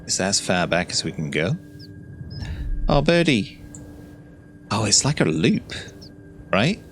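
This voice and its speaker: A man's voice: lowish voice, very animated, takes it slowly, a little hiss or hum. A man giving casual playthrough commentary on a game, thinking aloud in short reactions. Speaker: gameplay video host. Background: game music.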